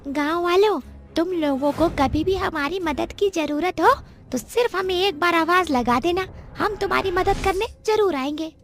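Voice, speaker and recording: A woman voicing a protective, bossy witch in an animated horror story, speaking emphatically. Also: extremely high pitch, moderate pace, faint music behind. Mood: earnest. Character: protective female witch, bossy helper of villagers.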